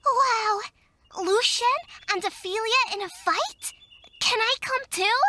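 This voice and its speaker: A woman voicing an excitable, teasing little girl in an audio drama, with childish exclamations and drawn-out words. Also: very high-pitched, very noisy. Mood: excited, pleading. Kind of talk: audio drama character acting.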